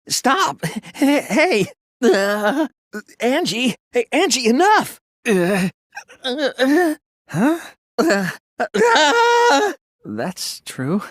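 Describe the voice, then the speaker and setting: A man voicing an earnest, timid yet resolute teenage boy detective in an English anime game dub, polite and soft-spoken. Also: high-pitched, dry recording, clean studio sound, exaggerated voice. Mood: alarmed, flustered. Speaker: video game voice actor.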